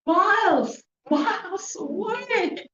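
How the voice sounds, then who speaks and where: A woman speaking English as a second language, presenting to a group in short, deliberate, emphatic phrases with rhetorical questions. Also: very high-pitched, animated, pushed and bright. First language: not English. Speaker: presenter, hypnotherapist. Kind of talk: interactive talk to a group. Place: room with an audience, indoors. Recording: echoey, muffled room recording with background noise.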